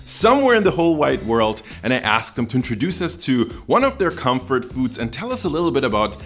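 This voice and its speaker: A man's voice: medium pitch, very animated, a little fast, fairly bright tone, faint music behind. A man hosting a food channel, giving an upbeat, friendly introduction and interviewing a guest. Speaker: host.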